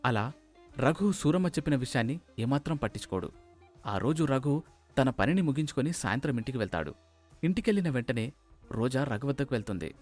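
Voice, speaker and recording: A man's voice: medium pitch, big pitch swings, brisk, darkish tone, soft background music. A man reading scripted narration of a family moral story.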